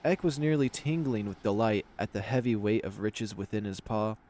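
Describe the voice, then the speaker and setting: A man's voice: on the low side, moderate pace, darkish tone. A man reading scripted fantasy story narration as the narrator of a visual novel.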